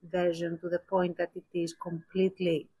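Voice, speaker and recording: A woman's voice: fairly low pitch, completely flat delivery, normal speed, dark tone, slightly rough, poor audio. A woman co-hosting a podcast, giving her opinions in a casual discussion and thinking aloud as she qualifies her points. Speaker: podcast co-host.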